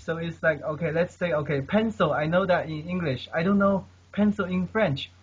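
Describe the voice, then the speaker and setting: A man's voice: a little high, normal speed, breathy edge. A man, a polyglot, giving earnest advice on language learning in casual conversation, often tagging his sentences with "okay?" and many fillers. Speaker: guest polyglot. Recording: dull lo-fi recording.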